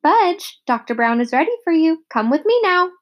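A woman's voice: very high-pitched, big pitch swings, muffled recording, pitched above the performer's own voice. A woman doing a sugary, cooing nurse. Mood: coaxing. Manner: slow, sugary, coaxing. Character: sweet, cooing female dental nurse.

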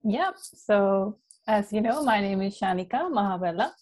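A woman's voice: medium pitch, lively intonation, moderate pace. Young woman from sri lanka, life coach guest, earnest, lots of um and you know. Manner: warm, earnest, many ums and you-knows. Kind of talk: casual interview talk.

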